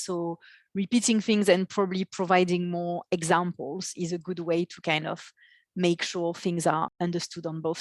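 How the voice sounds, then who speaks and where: A woman's voice: lowish voice, no room sound. A woman answering as a podcast guest in a conversational, explanatory way. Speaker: podcast guest, marketing executive.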